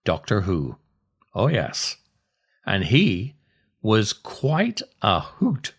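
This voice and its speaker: A man, reading a scripted comic monologue in a wry, theatrical way with deliberate pauses. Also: on the low side, wildly swooping pitch. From Ireland. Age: 60s. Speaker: podcast host and storyteller.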